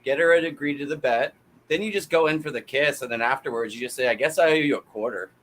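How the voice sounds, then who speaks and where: A man's voice: mid-range voice, fast, a bit bright, poor audio. A man in his thirties telling stories in a casual chat, laughing at his own jokes.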